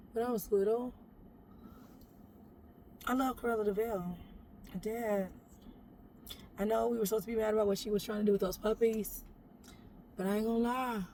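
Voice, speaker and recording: Woman, deep gravelly voice, sassy and playful, direct to camera, parked car. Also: a little hiss or hum, low-quality recording. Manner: sassy, playful, talks straight to the viewer and calls them baby. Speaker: makeup vlogger. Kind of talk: chatty makeup tutorial. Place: inside a parked SUV.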